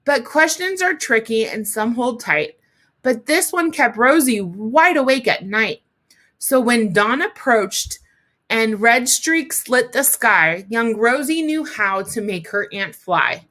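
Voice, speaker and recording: A woman teacher reading a story aloud to children, expressively, pausing to explain it and talk directly to young listeners. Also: on the high side, animated, sharp tone.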